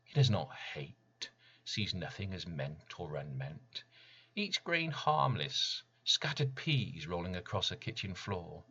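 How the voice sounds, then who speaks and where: A man with a lowish, forceful voice recites poetry theatrically. He goes slowly, leaving pauses between phrases, and the sound is slightly dull. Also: sing-song, slightly rough. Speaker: poet reading own work.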